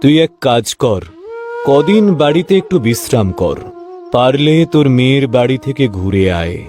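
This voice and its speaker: A man playing a stern, honest, weary middle-aged father and shopkeeper in a cartoon, speaking gravely and emphatically. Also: medium pitch, expressive, moderate pace, over music, lightly acted. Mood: concerned, authoritative. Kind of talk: cartoon voice acting.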